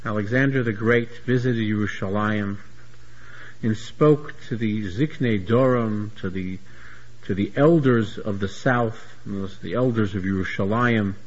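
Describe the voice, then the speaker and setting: Husky man giving a Talmud lecture. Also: on the low side, unhurried, muffled recording. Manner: searching restarts, repeats words while explaining. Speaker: Talmud teacher.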